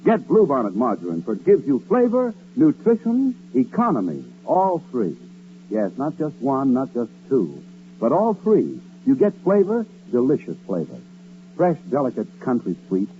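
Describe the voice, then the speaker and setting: Animated American radio announcer man, tinny recording. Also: a little hiss or hum. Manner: emphatic, measured sales pitch. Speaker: commercial announcer. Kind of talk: 1940s radio advertisement.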